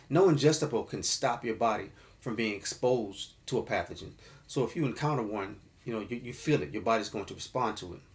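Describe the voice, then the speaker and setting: A man giving an informal explainer talk about an herbal formula, often checking in with the listener by asking "okay?" and calling the audience fam. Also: mid-range voice. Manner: casual, addresses listeners as fam.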